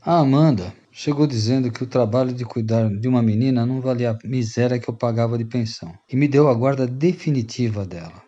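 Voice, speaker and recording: Actor as mellow Brazilian man confessing a roguish past. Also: mid-range voice, fairly quick, few pauses, lo-fi sound, close to an everyday voice. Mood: earnest. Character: repentant rogue and former freeloader confessing to friends. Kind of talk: radio drama storytelling.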